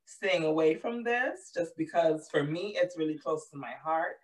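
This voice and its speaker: A woman co-hosting a casual podcast, her voice hoarse and deeper than usual from laryngitis, talking frankly and easily. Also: expressive, moderate pace, far from the mic, rough recording. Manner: laid-back, frank, swears freely. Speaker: podcast co-host. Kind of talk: casual chat.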